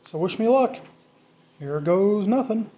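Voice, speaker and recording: Male DIY vlogger, middle-aged, slightly high pitch, very mellow tone, casual and self-deprecating tutorial talk. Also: expressive, a little slow. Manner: informal, self-deprecating, thinking aloud. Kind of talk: casual hands-on tutorial narration.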